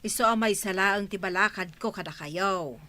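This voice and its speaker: An actress playing an insistent, frugal village neighbour in a Filipino radio drama. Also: female voice, mid-range voice, moderate pace, sharp tone, natural voice. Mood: advising.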